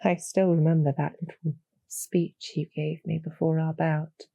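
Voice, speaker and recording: Calm, knowing succubus demoness played by a woman for audio roleplay: dark, mellow, low and gravelly, intimate, measured persuasion, very close dry sound. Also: no echo, full character voice. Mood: knowing, gentle. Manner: measured, deliberate, softly persuasive. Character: calm, knowing demoness succubus archer, former hero, loyal to her queen.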